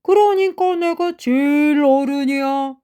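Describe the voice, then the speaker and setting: Woman voicing a swaggering storybook tiger, high-pitched. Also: slow pace, mellow. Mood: boastful. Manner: swaggering, emphatic. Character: boastful storybook tiger claiming seniority.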